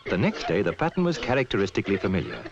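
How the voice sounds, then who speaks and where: Animated British storytelling narrator, muffled old film. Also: male voice, lowish voice, very fast, gravelly. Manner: storytelling, instructive. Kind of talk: 1970s British public information film narration. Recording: muffled, noisy 1970s film soundtrack.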